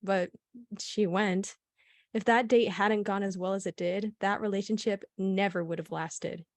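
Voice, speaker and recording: A young woman played by an actress with a mid-pitched, closely recorded voice. She is a gossipy, frank server giving her account in a radio drama. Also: fairly even intonation, measured pace, no echo, natural voice. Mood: frank, musing. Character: gossipy, frank young female server recalling a regular customer. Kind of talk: radio play witness interview.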